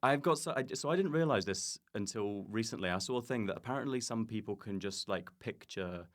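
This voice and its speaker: A man's voice: fairly low pitch, expressive, brisk. A man chatting casually as a comedian guest on a podcast, joking in a self-deprecating way. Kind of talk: casual comic chat.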